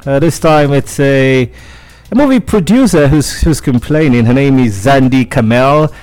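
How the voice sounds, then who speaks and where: A man's voice: medium pitch, expressive, normal speed, darkish tone. A man hosting a radio show, chatting through entertainment news with lots of fillers and restarts.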